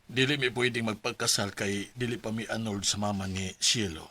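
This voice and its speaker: A man acting a calm, understanding middle-aged father in a radio drama. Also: fairly low pitch, fairly quick. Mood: patient, earnest.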